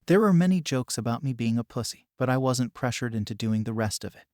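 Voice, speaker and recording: Male narrator, middle pitch, calm and measured, dry close sound. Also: no room sound. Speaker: story narrator. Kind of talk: scripted narration.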